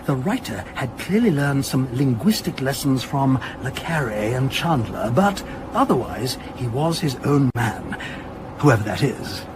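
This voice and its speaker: A man's voice: mid-range voice, a little slow, noisy background. An actor playing a male academic curator in a radio drama, explaining his field with pedantic, self-amused eagerness. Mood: enthused, explanatory. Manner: explanatory, donnish, animated. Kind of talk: naturalistic radio drama acting.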